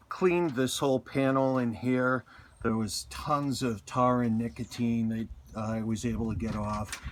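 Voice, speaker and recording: Slow-talking middle-aged man, casual and halting. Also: mid-range voice. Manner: halting, unscripted delivery. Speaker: radio hobbyist presenting a find. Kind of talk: casual hands-on show-and-tell. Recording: faint background noise, handheld camera clips.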